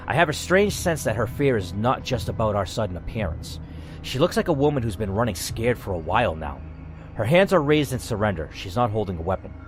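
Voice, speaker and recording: A man with a medium-pitched voice narrates a game story in the first person. His reading is dramatic, with pitch that rises and falls freely over soft music.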